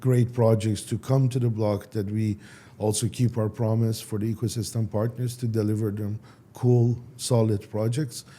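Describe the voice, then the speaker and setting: A man, a startup founder answering interview questions casually in halting, with many restarts. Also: on the low side, flat delivery, mellow, a little hiss or hum. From Iran. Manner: halting, restarts, non-native phrasing.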